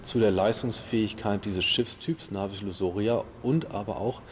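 A man speaks as an expert explaining a project in an interview. His voice is on the low side, with faint ambient noise behind it. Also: moderate pace.

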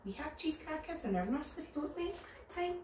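Higher-pitched Northern Irish woman, roomy sound. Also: fairly quick. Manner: animated, addressing young children. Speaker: nursery storyteller. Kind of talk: children's story time. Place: living room at home. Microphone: camera a few metres away. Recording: roomy, distant mic, background noise.

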